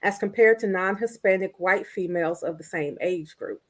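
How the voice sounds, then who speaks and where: A woman co-host reading statistics and a guest introduction in a crisp, formal way. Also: lowish voice, moderate pace.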